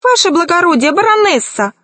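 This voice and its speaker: Cowardly, touchy storybook sorcerer, performed by a woman: trembling, plaintive, pitched very high. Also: sing-song, a little fast, a bit bright, dry recording, exaggerated voice. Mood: pleading. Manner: plaintive, pleading, pompous. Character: whiny, cowardly, easily offended male sorcerer. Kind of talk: children's storybook reading.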